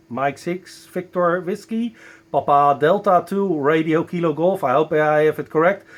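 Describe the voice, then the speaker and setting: A man with a Dutch accent working as an amateur radio operator, enthusiastic but halting, spelling out callsigns at a close microphone. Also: a little high. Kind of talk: ham radio contact, spelling callsigns phonetically. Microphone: close broadcast dynamic microphone.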